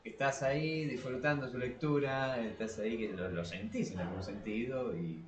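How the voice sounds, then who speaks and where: A man's voice: mid-range voice, moderate pace, slight background noise. A man with an Argentine Spanish accent co-hosting a casual literary podcast, hesitating and rephrasing as he goes. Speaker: podcast co-host. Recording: roomy echo, distant from the mic.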